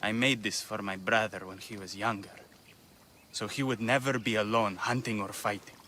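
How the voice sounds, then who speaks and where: A man's voice: on the low side, steady tone, moderate pace, bright, forceful tone. An actor playing a grieving, vengeful man in a video game, solemn and bitter. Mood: subdued, solemn. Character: grieving, vengeful male musician who lost his brother. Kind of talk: video game dramatic acting. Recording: faint ambient noise.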